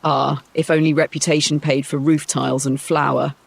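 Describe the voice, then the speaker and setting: A weary, sardonic noblewoman, read by a man in a storybook. The voice is husky and creaky, with practical, dry wit and a close, dry sound. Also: a little high, level tone, normal speed, no room sound. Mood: dryly sardonic. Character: weary, dry-witted middle-aged noblewoman stepmother.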